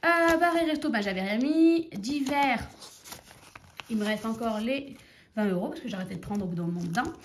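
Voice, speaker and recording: Higher-voiced woman in her thirties with a cold. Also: animated, moderate pace, a little hiss or hum. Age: 30s. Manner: chatty, rambling, counts money aloud. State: has a cold, stuffy nose. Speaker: budgeting vlogger. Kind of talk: casual chat to camera.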